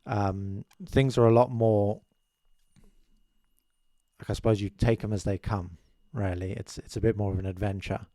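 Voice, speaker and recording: A young man from South Africa, speaking as a guest in a small studio. He talks in a modest, rambling way with many restarts. His voice sits low and close, with a dry, dead sound at a desk mic. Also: steady tone, moderate pace, long pauses, mellow, no room sound. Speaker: podcast guest. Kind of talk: casual interview chat. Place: small studio room with curtains. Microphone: desk mic on boom arm, headphones.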